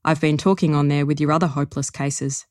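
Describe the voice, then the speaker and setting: A woman reading aloud as a gruff, smug older man who grumbles in a dry, stony way. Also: sits low, fairly even intonation, fast talker, a little mellow, no room sound, lightly acted. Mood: grumbling. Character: gruff old bookish man, blunt and smug.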